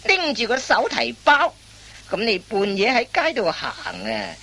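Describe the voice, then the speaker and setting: A woman playing a wily veteran master thief in a comic radio play, instructing in drawn-out phrases full of interjections. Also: a little high, sing-song, gravelly, bright, forceful tone, full character voice. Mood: fussy, advising.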